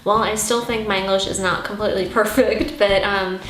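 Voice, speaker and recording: A young woman with Armenian as her first language talks casually to her viewers as a vlog host. Her voice sits at a middling pitch and she comes across as earnest and encouraging. The room adds a noticeable echo, and faint music plays underneath. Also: steady tone, normal speed, fairly bright tone. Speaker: YouTube host answering viewer questions. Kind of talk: casual question-and-answer vlog. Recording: roomy echo, music behind.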